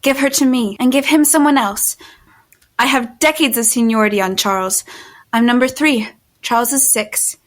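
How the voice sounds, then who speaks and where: A woman acting as an observant, uneasy but determined heroine who narrates an audio drama in a measured, literary manner. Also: on the high side, roomy sound, lightly acted. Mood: firm, demanding. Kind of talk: first-person scripted narration in an audio drama.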